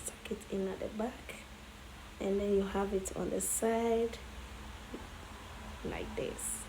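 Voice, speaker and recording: A woman with a hoarse voice from days of coughing, walking viewers through a headwrap tutorial in a casual, chatty way and often checking in with "okay?". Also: mid-range voice, steady tone, slow, background noise. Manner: chatty, keeps adding "okay?". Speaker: tutorial host.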